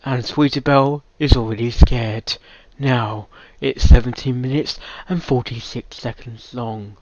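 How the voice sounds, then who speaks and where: A man's voice: mid-range voice, steady tone, a little slow, lo-fi sound, noisy background. A man giving casual, off-the-cuff reaction commentary with exclamations. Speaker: reaction video host.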